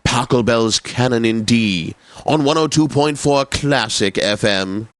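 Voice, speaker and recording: Casting: male actor as smug, effete male classical DJ for a game radio station; unhurried, theatrical diction, dry studio sound. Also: mid-range voice, dry recording, close to an everyday voice. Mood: smooth, pompous. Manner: pompous, theatrical, unctuous, precisely enunciated. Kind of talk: scripted classical radio announcing, video game.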